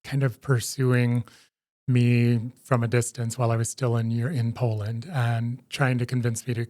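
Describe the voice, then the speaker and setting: A middle-aged man, a former priest, telling his story in an interview with many ums, restarts and quick affirmations. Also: mid-range voice, level tone, warm tone, no room sound, clean studio sound. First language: English.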